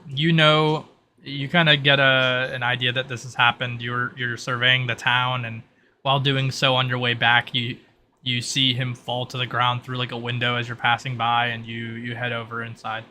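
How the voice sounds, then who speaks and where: A man's voice: medium pitch, completely flat delivery, moderate pace, bright, forceful tone, slight background noise. A man narrating a tabletop roleplay as game master in a casual, improvised and informal way. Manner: informal, peppered with "like".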